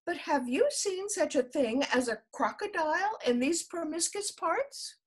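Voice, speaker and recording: A woman's voice: extremely high pitch, animated, a little slow, voice pushed up. A woman voicing a young male elephant child in a storybook, very polite, earnest and curious.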